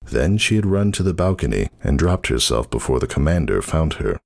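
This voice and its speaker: Male story narrator, bottomless bass with a creaky edge, dramatic scripted reading. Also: very animated, fairly quick, a little mellow.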